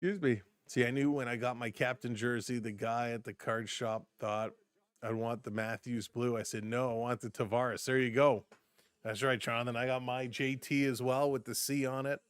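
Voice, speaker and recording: Guy calling hockey live on his stream, brisk and chatty, voice going a bit hoarse, dry close sound. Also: mid-range voice, fairly even intonation, no room sound. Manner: brisk play-by-play, chatty asides to viewers. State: voice getting hoarse. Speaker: fan stream commentator. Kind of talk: live play-by-play and chat reactions.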